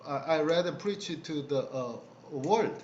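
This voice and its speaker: A middle-aged pastor with a Korean accent speaks in a slightly high voice. He tells stories haltingly, with many fillers and laughs along the way. The video-call audio sounds echoey and dull. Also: expressive, darkish tone, slight background noise. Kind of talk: casual conversational storytelling.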